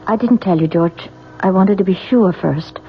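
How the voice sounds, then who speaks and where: A woman acting an anxious, longing wife in an old radio mystery. Her mid-pitched voice is halting and pleading. The broadcast is dull, with faint noise. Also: natural voice. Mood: earnest. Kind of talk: 1970s radio drama acting.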